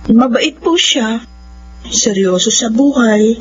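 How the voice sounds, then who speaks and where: A woman's voice: a little high, takes it slowly, slight background noise. A woman playing a caring, respectful young woman with a Filipino accent in a radio drama. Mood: polite, uneasy. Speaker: drama voice actor. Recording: dull audio, humming, music underneath.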